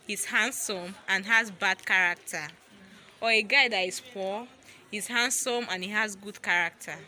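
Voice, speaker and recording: A woman's voice: mid-range voice, unhurried, pushed and bright. A woman asking casual vox pop questions outdoors as a street interviewer. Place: open-air school campus. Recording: outdoor campus, busy crowd chatter. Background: crowd chatter.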